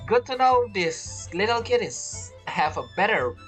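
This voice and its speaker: A video game streamer giving casual commentary in halting, non-native English phrasing. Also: mid-range voice, big pitch swings, fairly bright tone. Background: game music.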